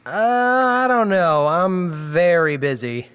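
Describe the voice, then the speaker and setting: Chatty schemer with swooping pitch. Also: male voice, slow pace, smooth, big-room sound, full character voice. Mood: easygoing. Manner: rambling, jokey, excitable. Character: chatty, scatterbrained young male small-time schemer. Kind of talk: comedic audio drama acting.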